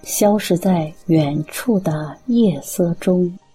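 A woman's voice: fairly low pitch, slow, warm tone, husky, faint music behind, low-quality recording. A woman reading scripted narration for a radio drama.

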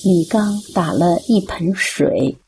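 A woman's voice: fairly low pitch, slow, gravelly, darkish tone, a little hiss or hum. A woman narrating a scripted radio drama as storyteller.

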